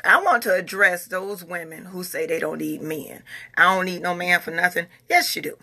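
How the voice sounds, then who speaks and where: Gravelly, earnest woman in her forties. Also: lowish voice, fairly even intonation, moderate pace, sharp tone. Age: 40s. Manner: earnest, repeats herself, talks in a Southern US dialect. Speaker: vlogger. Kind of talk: casual talk to followers.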